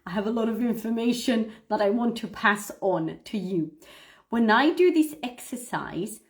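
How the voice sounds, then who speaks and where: A woman hosting a live English pronunciation lesson, explaining things to viewers in an instructive, expressive way with emphasis and frequent checks for understanding. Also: on the high side, normal speed. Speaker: English pronunciation teacher, livestream host. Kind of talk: live lesson talking to viewers, demonstrating read-aloud.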